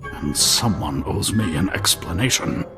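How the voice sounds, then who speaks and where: A man's voice: sits low, animated, unhurried, music in the background. An actor playing a snooty, easily outraged male museum curator in a video game, with haughty, formal diction.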